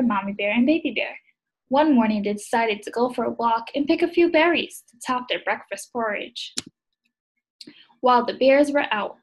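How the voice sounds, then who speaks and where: A young adult woman with a slightly husky voice, reading a storybook aloud to young children as a preschool teacher, recorded on a laptop at home. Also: fairly high pitch, poor audio. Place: small indoor room at home. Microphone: laptop video call.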